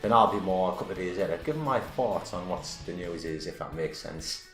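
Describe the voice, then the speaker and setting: A man with a deep voice, hosting a casual channel update vlog, rambling and correcting himself with enthusiasm. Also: brisk. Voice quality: self-described deep voice. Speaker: YouTube channel host. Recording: roomy echo with faint background music.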